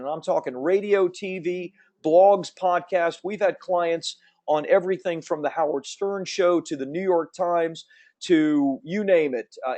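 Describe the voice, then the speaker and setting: A man in his fifties with a slight Southern American accent, rattling through a webinar at speed. His voice sits a little high for a man. His delivery is upbeat and salesy, like a pitch to an online audience. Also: a little mellow. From Central Florida. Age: 50s. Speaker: webinar host. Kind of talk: fast promotional webinar presentation.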